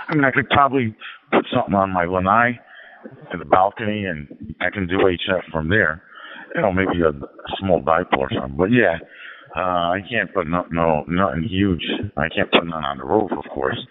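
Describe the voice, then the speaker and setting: A man chatting casually and ramblingly as an amateur radio operator, repeating himself over a radio link. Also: deep voice. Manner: rambling, repetitive, frequent "you know". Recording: dull lo-fi digital radio transmission.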